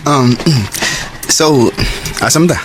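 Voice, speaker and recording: A man's voice: a little high, very slow, over loud music, low-quality recording. A man acting an earnest, hesitant suitor and troubled father in a Cebuano radio drama. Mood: shy, courting.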